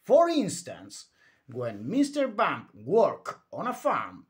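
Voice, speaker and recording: Male narrator for children's picture books. Unhurried, very lively pitch, emphatic and theatrical bedtime delivery. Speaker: storyteller reading a children's book aloud. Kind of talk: animated bedtime read-aloud narration.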